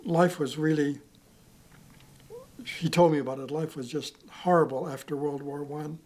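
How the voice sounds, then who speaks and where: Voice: medium pitch, steady tone, moderate pace, darkish tone. An adult earnestly retelling a parent's hardships, sometimes searching for words. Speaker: interviewee. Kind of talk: retelling a parent's story in an interview.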